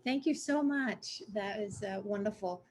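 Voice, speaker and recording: A woman's voice: mid-range voice, a little slow, darkish tone. A woman hosting a school webinar, moderating with brief friendly remarks. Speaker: school host.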